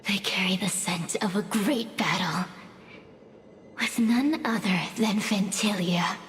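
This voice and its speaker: An actress voicing a hungry, sinister female fire spirit in a video game, speaking in a theatrical, teasing, seductive way with breathy moments. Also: mid-range voice, slow pace, a bit bright, soft background music. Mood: eerie, intrigued. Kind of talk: video game character acting.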